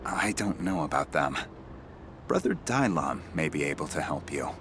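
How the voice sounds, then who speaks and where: An actor playing a grave, weary monk in a video game, speaking solemnly. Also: male voice, extremely low pitch, big pitch swings, normal speed, noisy background, heavily acted. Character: grave male monk tending a plague-stricken monastery. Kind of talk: video game character acting. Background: music.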